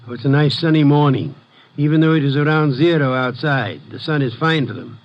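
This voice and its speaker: An actor playing a fussy, pompous gentleman detective in a theatrical 1950s radio mystery. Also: a little high, a little fast, lightly acted. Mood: musing. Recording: muffled vintage radio recording.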